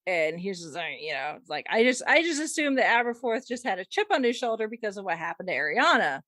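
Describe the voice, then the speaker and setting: A woman's voice: medium pitch, very animated, fast talker, fairly bright tone, dry recording, clean studio sound. A woman co-hosting a casual fan discussion, chatty and rambling, with lots of "like" and "you know". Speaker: podcast co-host.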